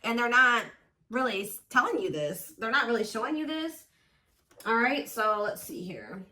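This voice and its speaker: A woman's voice: a little high, expressive, normal speed, sharp tone. A woman giving a casual, intuitive tarot reading to viewers, speaking informally and with emphatic assurance. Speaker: tarot reader, host.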